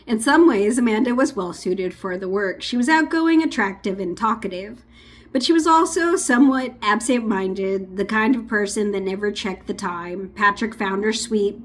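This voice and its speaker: A woman reading a true-crime book aloud in a casual, unpolished way. She stumbles over names, restarts lines, and breaks off for chatty asides. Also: mid-range voice. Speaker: audiobook reader. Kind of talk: amateur audiobook reading with asides.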